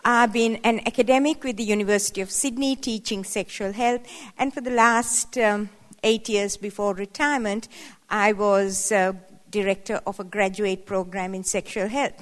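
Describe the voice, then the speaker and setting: A woman's voice: mid-range voice, fairly even intonation, moderate pace. Playful woman in her seventies, echoing hall. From Sri Lanka. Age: 70s. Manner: playful, conversational, rising emphatic asides. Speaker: guest speaker. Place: hall at a women's church event. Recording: long reverb of a hall.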